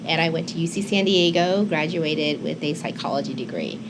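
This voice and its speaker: A woman's voice: sits low, moderate pace. A woman in her fifties, born in Hong Kong, chatting as an interview guest with many fillers and restarts. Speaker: immigration attorney, guest. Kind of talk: casual interview talk. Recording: local TV studio with noisy background.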